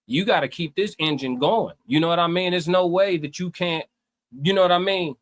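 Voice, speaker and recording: Man, fairly high voice, casual opinion talk, livestream co-host, repetitive list-building, frequent check-ins. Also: fairly quick. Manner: repetitive, builds points in lists, checks in with "you know what I'm saying".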